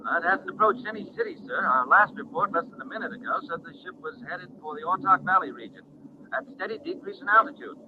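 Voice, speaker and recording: A man's voice: high-pitched, lively intonation, quick pace, background noise. A man playing a formal space control officer reporting in a 1950s radio serial, on muffled old sound. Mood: formal, urgent. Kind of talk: 1950s radio adventure acting.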